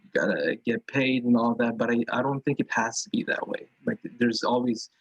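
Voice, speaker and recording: A man's voice: medium pitch, steady tone, a little fast, slightly rough, no echo. A young man speaking as a student panelist in a casual discussion, hesitant and full of fillers. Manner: hesitant, full of "um" and "you know". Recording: dull dry sound.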